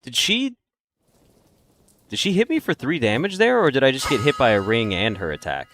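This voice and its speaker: A man's voice: medium pitch, sing-song, moderate pace, fairly bright tone, a little hiss or hum. A man giving let's play commentary, reacting and exclaiming as he gets hit in a boss fight. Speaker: gaming video host. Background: game music.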